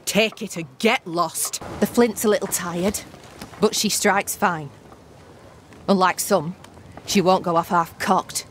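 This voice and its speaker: Game role: resentful, blunt young settler woman, measured delivery, scornful edge. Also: lowish voice, slight background noise. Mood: grudging, resentful. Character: bitter, proud young woman camp settler, resentful of her sister. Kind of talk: dramatic game cutscene acting.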